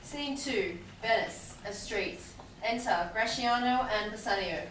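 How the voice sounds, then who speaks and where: A woman's voice: a little high, measured pace, a bit bright. A woman reading out stage directions at a staged play reading. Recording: distant, very echoey room with background noise.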